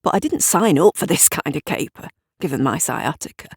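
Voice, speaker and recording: A woman's voice: low voice, lively intonation, brisk, vocal fry, husky, dry recording, studio quality, full character voice. A woman voicing a shifty, grumbling male small-time crook in an audio drama, pressing and blustering. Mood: placating, grudging.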